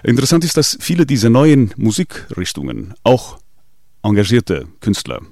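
A man's voice: medium pitch, huge pitch swings, normal speed, darkish tone, no room sound, studio quality. A man, a singer in a band, answering radio interview questions hesitantly with many restarts. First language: Portuguese. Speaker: singer in a latin music band.

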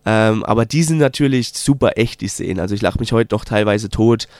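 A man with a Palatinate German accent, a documentary maker answering interview questions in a casual, halting way with lots of filler sounds. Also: on the low side, darkish tone, dry recording. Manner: hesitant, many filler sounds, slow drawn-out phrasing. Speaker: documentary filmmaker guest.

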